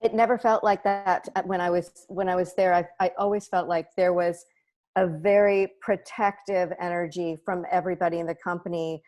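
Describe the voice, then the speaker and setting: A woman's voice: lowish voice, a little mellow. A middle-aged woman, an actor, chatting casually at a cast reunion. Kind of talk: casual chat.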